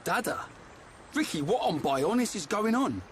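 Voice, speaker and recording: A man's voice: high voice, very animated, moderate pace, slight background noise. A man with a British accent playing a brash, grumbling young fighter in a video game, blunt and informal. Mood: incredulous.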